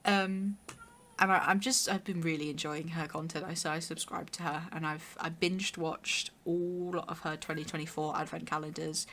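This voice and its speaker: A young woman with a hoarse, raspy voice, chatting casually and rambling while streaming a game. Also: sits low, fairly even intonation, normal speed, fairly bright tone, slight background noise. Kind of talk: casual chat while gaming.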